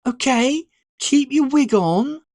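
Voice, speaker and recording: Narrator doing a cheeky teenage brother, pitched high. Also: animated, slow, a little mellow, no room sound, studio quality, exaggerated voice.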